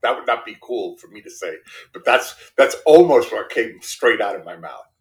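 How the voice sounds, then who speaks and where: A deep-voiced man in his fifties, raised in Brooklyn, with Spanish as his first language. He talks casually as a podcast guest. He is self-deprecating and keeps checking in with short tag questions. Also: lively intonation, normal speed. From Brooklyn, New York. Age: 50s. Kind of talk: casual interview conversation.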